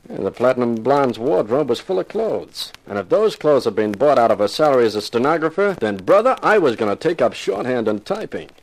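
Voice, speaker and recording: Hard-boiled male private eye, wry and tough-talking, lively inflection, 1950s radio thriller. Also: medium pitch, low-quality recording. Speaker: lead actor and narrator. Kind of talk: 1950s radio detective drama, first-person narration and dialogue.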